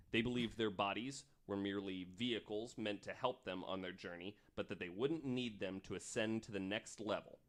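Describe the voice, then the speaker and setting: Low-pitched man presenting a podcast. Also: a bit bright. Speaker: podcast host. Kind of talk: casual podcast storytelling from research notes.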